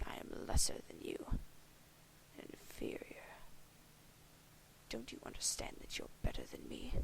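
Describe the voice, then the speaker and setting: A woman's voice: mid-range voice, creaky, dark tone, heavily acted, low-quality recording. A woman voicing a haughty, imperious alien troll who scolds in formal, pompous language.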